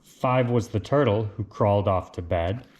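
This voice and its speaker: A man reads a children's storybook aloud in a deliberate, lilting way, with casual asides. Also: on the low side, a little mellow, slight background noise. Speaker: reader of picture books.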